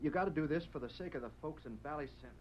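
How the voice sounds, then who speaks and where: A man playing a desperate, earnest Old West townsman in a radio western, husky, folksy and imploring. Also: fairly high pitch, rapid-fire, close to an everyday voice. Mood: pleading. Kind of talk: 1940s radio western acting. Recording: muffled vintage radio recording.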